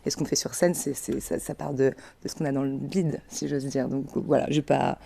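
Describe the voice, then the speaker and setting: Very fast, halting young woman. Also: extremely low pitch, fairly even intonation, vocal fry, warm tone, husky. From Paris region, France. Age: young adult. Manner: hesitant, self-correcting, stumbling over repeated words. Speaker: comedian, interview guest. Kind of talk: casual spontaneous interview talk.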